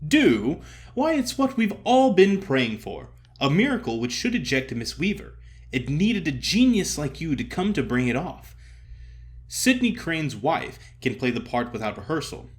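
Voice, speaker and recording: A man voicing an effusive, theatrical older stage comedian who cajoles and flatters grandly in an audio story. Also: on the high side, pitched above the performer's own voice. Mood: elated. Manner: emphatic, drawn-out address, grand pronouncements.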